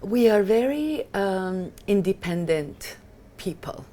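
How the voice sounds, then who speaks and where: A woman's voice: mid-range voice, lively intonation, takes it slowly, dark tone, a little hiss or hum. A woman in her fifties from Afghanistan, whose first language is Italian, speaking passionately and emphatically as an interview guest. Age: 50s. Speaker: guest, film director and activist. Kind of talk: interview conversation.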